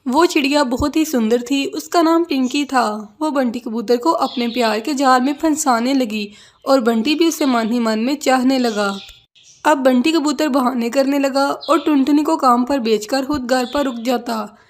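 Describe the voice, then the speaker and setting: High-pitched woman narrating a cartoon story. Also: moderate pace.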